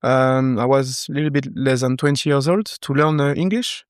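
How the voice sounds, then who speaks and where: A man from southern France speaks English with a French accent, thoughtful and unhurried, halting over his words. His voice is smooth and resonant, recorded close and dry with no room around it. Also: mid-range voice, no room sound. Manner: relaxed, thoughtful, halting with many fillers. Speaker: guest, wine professional. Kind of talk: casual interview talk.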